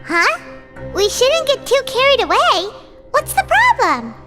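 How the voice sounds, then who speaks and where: A woman voicing a small, childlike fairy companion in a video game, squeaky, inquisitive, chatty and a little timid. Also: wildly swooping pitch, unhurried, exaggerated voice. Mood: puzzled. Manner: inquisitive, refers to self in third person. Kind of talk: video game character acting. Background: music.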